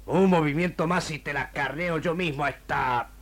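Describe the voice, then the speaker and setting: An actor playing a sly, threatening gaucho villain in an old radio drama, with a rioplatense Argentine accent and a mocking, rustic way of talking. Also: male voice, a little high, normal speed, close to an everyday voice. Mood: cold, mocking. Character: insolent, sly gaucho villain holding a woman hostage. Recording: noisy archival AM radio recording.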